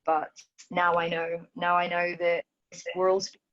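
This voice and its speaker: A woman's voice: lowish voice, completely flat delivery, moderate pace, fairly bright tone, dull audio. A young woman from Ethiopia with a London accent, talking thoughtfully with many ums and restarts in a conversation. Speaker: dancer and choreographer. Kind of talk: reflective conversation. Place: video call from home.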